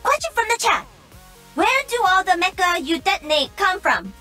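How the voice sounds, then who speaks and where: A woman's voice: extremely high pitch, big pitch swings, moderate pace, sharp tone, soft background music, heavily acted. An actress voicing a cocky young female gamer mech pilot in a game, with a sassy, teasing, bubbly delivery. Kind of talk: game voice lines.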